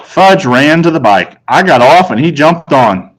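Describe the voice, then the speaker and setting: A man's voice: a little high, lively intonation, unhurried, rough recording. A man reading a children's novel aloud as scripted first-person narration. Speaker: story reader.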